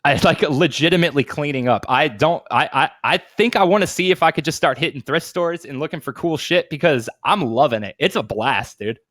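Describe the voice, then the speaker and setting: A man's voice: on the high side, lively intonation, a little fast, hardly any pauses, fairly bright tone, dry recording, studio quality. A man in his thirties chatting casually as a podcast co-host, informal and swearing freely with lots of dude slang. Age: 30s. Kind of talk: casual chat.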